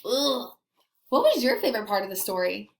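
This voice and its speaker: A woman teaching young children in a read-aloud lesson, animated and expressive as she puts questions to them. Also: fairly high pitch, moderate pace, roomy sound. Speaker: elementary school teacher.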